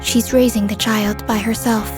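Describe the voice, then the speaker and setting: Manga dub observer, played by a woman: formal, deadpan, detached, slightly high voice over faint music. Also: moderate pace, close to an everyday voice. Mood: matter-of-fact. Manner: polite, stiffly formal, deadpan. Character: composed, formal young woman observer, detached but kind.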